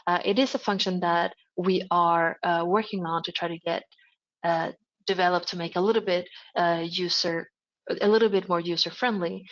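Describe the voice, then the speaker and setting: A woman with a fairly low voice presents a webinar, walking through a site step by step. She talks in an explanatory way, with many fillers and self-corrections. The audio is dull and lo-fi. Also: fairly even intonation, moderate pace. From Sweden. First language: Swedish. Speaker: project presenter. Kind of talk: webinar screen-share walkthrough.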